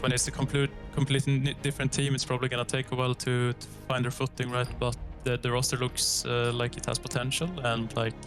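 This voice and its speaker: A man's voice: medium pitch, monotone, quick pace, few pauses, fairly bright tone. A man, a pro player, giving hesitant answers with restarts in an interview clip. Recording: music playing underneath.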